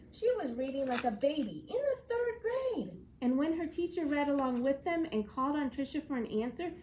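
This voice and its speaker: A woman schoolteacher giving an expressive storybook read-aloud lesson in a clear, explanatory classroom manner. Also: sits high, a little hiss or hum.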